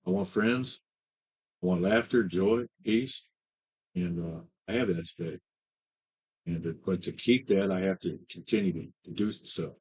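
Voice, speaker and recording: A man in his fifties telling his recovery story as a treatment client, halting, with many fillers and restarts. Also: sits low, a little mellow, husky. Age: 50s. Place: treatment center.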